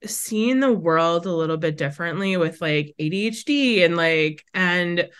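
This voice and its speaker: A woman's voice: fairly low pitch, expressive, unhurried, fairly bright tone, clear, resonant tone. A bubbly, talkative woman, chatting as a podcast guest and filling her sentences with "like.". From Wisconsin, USA. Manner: bubbly, chatty, lots of "like" fillers. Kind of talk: casual interview chat.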